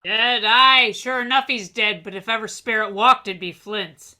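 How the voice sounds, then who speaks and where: A woman's voice: a little high, normal speed, sharp tone. A woman playing a superstitious, fearful pirate sailor in a radio play, with salty dialect. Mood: grim, uneasy.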